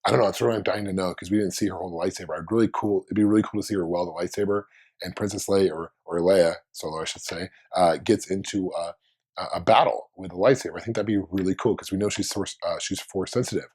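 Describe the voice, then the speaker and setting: Hoarse low-voiced man musing casually. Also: fairly even intonation, fast, darkish tone. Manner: rambling, thinking aloud with many restarts. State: hoarse, under the weather. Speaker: fan video host. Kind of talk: casual fan commentary.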